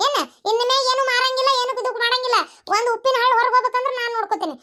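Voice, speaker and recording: Woman as a bossy high-pitched village daughter-in-law. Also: fairly even intonation, very fast, sharp tone. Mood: firm, bossy. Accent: North Karnataka. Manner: argumentative, lecturing, insistent. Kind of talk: village comedy cartoon dialogue.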